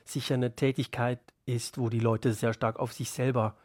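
A man's voice: medium pitch, flat delivery, moderate pace, darkish tone, no room sound, clean studio sound. A man giving spontaneous, reflective interview answers as a guest, thoughtful and drawing out key words.

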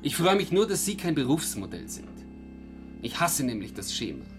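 An actor playing a self-important bohemian artist, a declaiming individualist, in a literary radio drama. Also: male voice, a little high, big pitch swings, normal speed, music in the background. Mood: pompous. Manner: pompous, declamatory.

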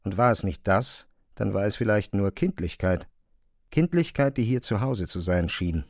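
A man's voice: on the low side, expressive, moderate pace, a little mellow. A man narrating a classic novel as a scripted literary reading, close to a studio microphone.

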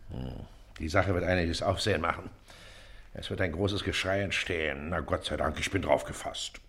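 An actor playing a self-important, impatient Prussian district official in a period stage comedy, condescending and sarcastic. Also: male voice, low voice, moderate pace, a bit bright. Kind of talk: theatrical period comedy acting in German.

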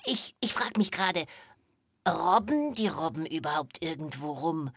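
A woman voicing a cheeky, silly animal sidekick in a children's podcast, stammering and giggling with a raspy voice. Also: low voice, measured pace, dry recording, clean studio sound, exaggerated voice. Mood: curious, cheeky. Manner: stammering, repeating words, giggling. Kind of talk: scripted children's podcast dialogue.

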